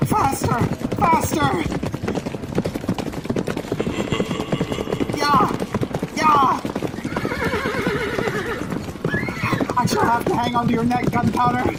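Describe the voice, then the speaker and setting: A man's voice: very high voice, normal speed, heavy background noise. A man playing a timid, superstitious, fussy schoolmaster on horseback in an audio drama, talking nervously and theatrically to himself and his horse. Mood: panicked. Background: horse hooves and night ambience.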